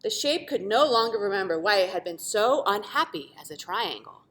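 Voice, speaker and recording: Animated, bright-voiced woman reading a storybook. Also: mid-range voice, moderate pace. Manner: animated, dramatic emphasis with asides to young listeners. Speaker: teacher reading a picture book aloud. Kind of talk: expressive children's storybook read-aloud.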